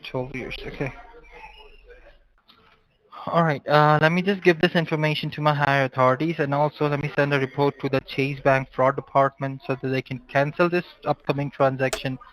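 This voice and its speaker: A man's voice: a little high, fairly even intonation, moderate pace, a bit bright, rough recording. A man working as a phone agent, explaining procedures formally and insistently in call-center style. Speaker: phone scammer posing as support agent.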